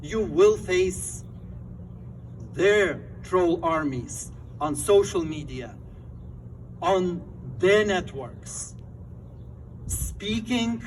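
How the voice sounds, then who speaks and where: A man's voice: high-pitched, recorded from a distance, a little hiss or hum, low-quality recording. Fervent Lithuanian-accented man at a rally. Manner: fervent, emphatic phrasing with rallying cries. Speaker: rally speaker. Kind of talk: impassioned outdoor rally speech. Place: outdoor rally.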